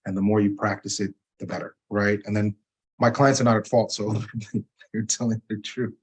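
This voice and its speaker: A man from Zambia, an attorney, explaining things in a relaxed interview conversation, often checking in with "right?" and "you know". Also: lowish voice, moderate pace, husky.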